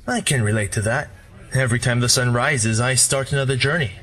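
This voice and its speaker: A man's voice: mid-range voice, a little fast, slightly rough. A man voicing an easygoing, friendly drifter and former warrior. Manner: relaxed, friendly, wry.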